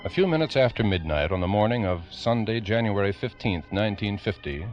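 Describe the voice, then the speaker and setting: A man narrating a scripted 1950s radio drama in a grave, deliberate storytelling manner on an old, dull recording. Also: fairly low pitch, lively intonation. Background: dramatic music.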